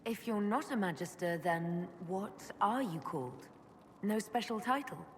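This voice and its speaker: A woman's voice: medium pitch, lively intonation, measured pace, lightly acted. An actress playing a frank, inquisitive adventurer woman in a video game, asking direct, probing questions with composure. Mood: curious. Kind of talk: video game dialogue acting. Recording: reverberant hall with ambient noise.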